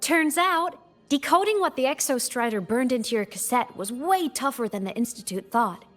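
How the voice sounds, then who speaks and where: A woman voicing an excitable, friendly and eager young woman in a video game. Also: sits high, moderate pace, faint music behind. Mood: excited, chatty.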